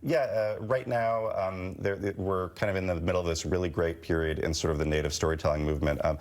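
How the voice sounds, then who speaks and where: A man's voice: sits low, steady tone, brisk. A man with an American accent, chatting casually and enthusiastically as a filmmaker guest in a TV interview. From United States. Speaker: guest, animated film writer-director. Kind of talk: casual promotional TV interview chat.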